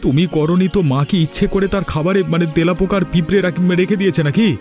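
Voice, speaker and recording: An indignant grown son in a cartoon, voiced by a man, speaking sternly in a fairly high voice. Faint music plays behind. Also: fairly even intonation, fast, darkish tone, close to an everyday voice. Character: indignant grown son defending his mother. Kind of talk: cartoon dubbing.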